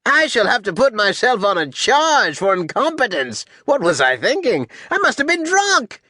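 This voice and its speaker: A man voicing a mocking, imperious warlord with a high-pitched, grating voice, in an audiobook style. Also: huge pitch swings, normal speed, sharp tone, no echo, studio quality, heavily acted. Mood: scornful. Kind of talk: audiobook character acting.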